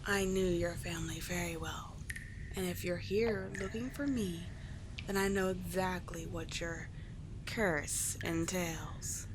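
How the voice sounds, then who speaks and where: Woman voicing an unhurried, courtly sea witch. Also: on the low side, fairly even intonation, background noise. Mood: courtly, reassuring. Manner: soothing, formal endearments, unhurried. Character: calm, courtly, teasing sea witch, gentle yet slightly monstrous. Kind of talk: scripted ASMR roleplay monologue.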